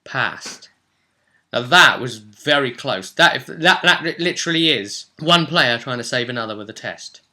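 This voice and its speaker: A man hosting as game master, casually recounting a role-playing session with emphatic, animated storytelling. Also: mid-range voice, normal speed. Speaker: game master and vlog host.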